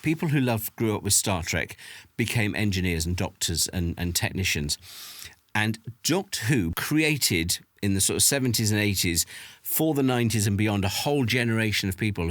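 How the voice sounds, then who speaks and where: A man's voice: fairly low pitch, no room sound. A man giving his opinions emphatically and conversationally in a documentary interview.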